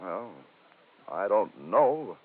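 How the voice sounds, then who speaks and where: An actor playing an earnest, flustered defense attorney with a husky voice, in 1940s radio drama style. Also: male voice, on the low side, lively intonation, extremely slow, exaggerated voice. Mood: puzzled. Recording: muffled old radio recording.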